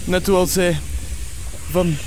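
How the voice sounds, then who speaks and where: Sentimental Flemish craftsman, very high male voice, pompous, theatrical, noisy rumbling background. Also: fairly even intonation, slow. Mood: pompous, pleased. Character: genteel, sentimental, pompous fat-rendering master. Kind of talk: theatrical radio comedy drama acting.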